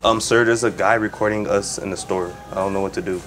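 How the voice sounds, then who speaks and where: A man's voice: lowish voice, steady tone, quick pace, over music. A young man hosting a prank video in casual, slangy, joking chat. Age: young adult. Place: large store, car and parking lot.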